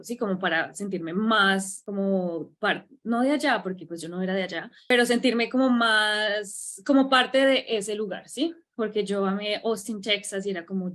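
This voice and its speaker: A woman's voice: medium pitch, a bit bright. A Colombian woman with a Colombian accent hosting an interview, coaching her guest with frequent tag questions. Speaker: host. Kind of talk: conversational interview.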